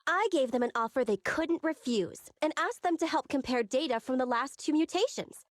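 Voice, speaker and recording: A woman's voice: high-pitched, lively intonation, moderate pace, no room sound. A woman voicing a sly, self-assured young crew boss in an anime-style game, breezy, boastful and bossy. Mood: smug, confident.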